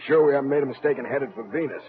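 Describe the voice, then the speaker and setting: An actor in an old-time radio drama playing a plain-spoken military spaceship pilot, a major with a casual, blunt, folksy manner. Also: male voice, mid-range voice, rapid-fire, narrow, tinny audio, natural voice. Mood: jocular.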